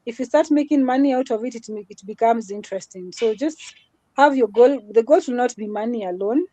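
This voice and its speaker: A woman's voice: fairly high pitch, a little fast, dark tone. A woman with a small voice, giving an earnest motivational talk as a guest speaker in a live session. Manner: earnest, repetitive, mixes Swahili into English.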